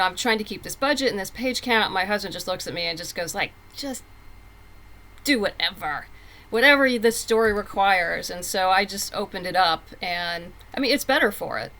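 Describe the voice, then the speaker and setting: A middle-aged woman chats as a guest in a casual interview. Her pitch sits in the middle range, and she talks candidly, stressing her points as she goes. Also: moderate pace, sharp tone. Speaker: podcast guest, comic book writer.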